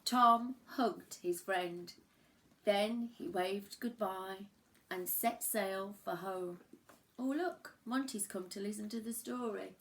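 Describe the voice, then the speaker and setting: A woman reads a picture book to young children in a slow, creaky voice of middling pitch. She narrates like a primary school teacher, leaning on key words and pausing for drama. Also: slight background noise. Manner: measured, dramatic emphasis and pauses for young listeners. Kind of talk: expressive children's storybook narration.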